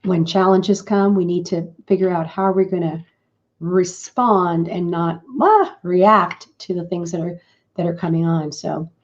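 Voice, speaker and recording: A middle-aged woman hosting a live business broadcast, talking in a chatty, rambling way with a croaky morning voice. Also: fairly low pitch, fairly even intonation, a little slow. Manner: chatty and rambling, with fillers and restarts. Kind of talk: casual live-stream talk. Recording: dull lo-fi stream audio.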